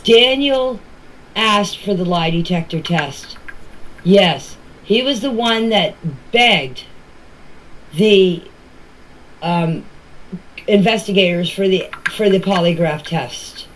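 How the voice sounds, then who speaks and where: A woman's voice: mid-range voice, animated, slow, pauses often, poor audio. A middle-aged or older woman, giving an informal personal account in a plain-spoken, emphatic way and often repeating herself. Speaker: family member giving her account. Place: voice clips recorded for a group chat.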